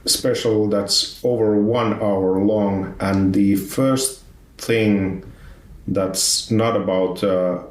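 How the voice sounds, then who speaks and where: A man with a Finnish accent hosting a podcast in casual conversation, speaking haltingly with many restarts. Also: on the low side, steady tone. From Finland. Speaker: podcast host. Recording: roomy sound, distant from mic.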